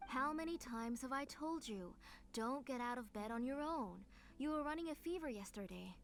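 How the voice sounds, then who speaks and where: A woman playing a fussing, overprotective middle-aged mother in an anime game, caring and gently scolding. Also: high-pitched, expressive, normal speed. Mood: weary, concerned. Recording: music clearly behind the voice.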